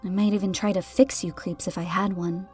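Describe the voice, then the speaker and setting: A woman's voice: medium pitch, level tone, fairly quick, mellow, soft background music, close to an everyday voice. A woman playing a defiant young captive girl in a game parody, talking in a casual, blunt, sardonic way. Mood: wry, wistful. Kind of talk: scripted animated game parody dialogue.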